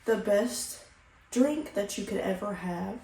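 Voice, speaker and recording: A woman with a slightly raspy morning voice chatting casually to viewers as a vlogger, slangy and playful, with some swearing and emphatic catchphrases. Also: medium pitch, expressive, takes it slowly, warm tone. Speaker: vlogger hosting a sip-and-chat video. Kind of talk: casual rambling chat to viewers. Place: indoors at home. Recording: roomy, echoey home sound.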